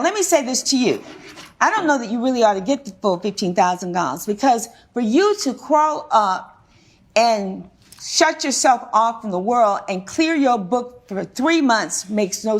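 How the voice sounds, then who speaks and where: A woman speaking as a television courtroom judge, questioning and ruling in a stern, emphatic, lecturing way. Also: on the high side.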